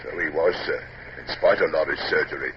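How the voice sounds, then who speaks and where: An actor playing a respectful, halting British navy shipmate in an old radio drama. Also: male voice, a bass voice, fairly even intonation. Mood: hesitant, grave. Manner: deferential, halting. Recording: muffled, noisy old shortwave radio drama.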